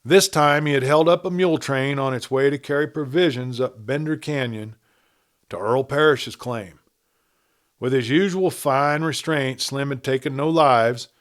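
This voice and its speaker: Man narrating a western tale. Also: medium pitch. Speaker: audiobook narrator.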